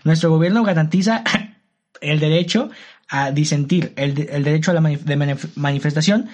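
A man's voice: fairly high pitch, moderate pace, a little mellow. A young man with a Mexican accent co-hosting a casual discussion, slangy and joking, tossing in tag questions. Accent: Mexican Spanish. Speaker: podcast co-host.

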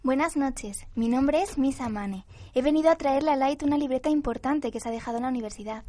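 A woman's voice: high voice, fairly quick, warm tone. An actress playing an eager, devoted, reckless young woman in a radio drama. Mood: polite, nervous.

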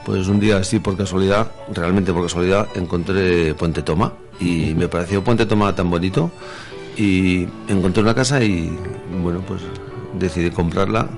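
Deep-voiced man with central Spanish accent. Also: moderate pace, soft background music. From Madrid, Spain. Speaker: guest, village mayor. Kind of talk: conversational radio interview. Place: radio studio.

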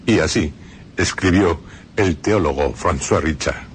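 A man hosting and narrating a radio drama program, speaking in a measured, ominous way with dramatic pauses. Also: sits low, slight background noise. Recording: radio broadcast with clear music bed.

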